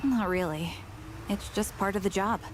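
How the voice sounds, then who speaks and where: A woman's voice: mid-range voice, moderate pace, lightly acted. An actress playing a composed, dutiful female sniper soldier with a playful side in a game trailer. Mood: composed, matter-of-fact. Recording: loud music and vehicle noise behind.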